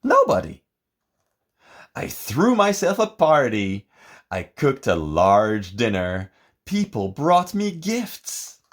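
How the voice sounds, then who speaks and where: A man reading a picture book aloud in a theatrical, expressive and deliberate way, as scripted narration. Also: mid-range voice. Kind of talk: dramatic scripted storybook narration.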